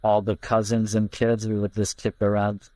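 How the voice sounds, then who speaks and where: A man's voice: fairly low pitch, monotone, normal speed, warm tone, no echo, rough recording. A man from Iran who grew up in Canada, not a native English speaker, chatting casually as an understated interview guest. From Iran, raised mostly in Canada. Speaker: interview guest, soccer player. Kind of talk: casual chat, recounting his own story.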